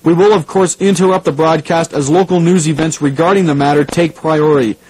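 A man's voice: fairly high pitch, fairly even intonation, brisk, darkish tone, natural voice, poor audio. A man acting as an apologetic local radio newscaster during a crisis, in a radio drama news bulletin. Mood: concerned, composed. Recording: radio broadcast with faint hum.

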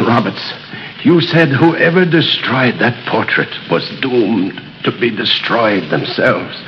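Desperate father, lively pitch, drawn-out delivery, hissy 1930s radio recording. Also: muffled recording. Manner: shouting, urgent. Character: desperate brave father fighting a devil.

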